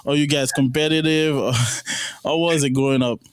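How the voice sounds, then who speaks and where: A man from Haiti hosting a podcast, asking interview questions in a halting way with many restarts, wearing a headset. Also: medium pitch, steady tone, clean tone. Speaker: podcast host.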